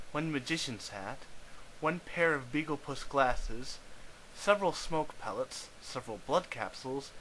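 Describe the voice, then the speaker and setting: A man's voice: medium pitch, pushed and bright, slight background noise, rough recording. A man narrating a scripted story, reading it aloud.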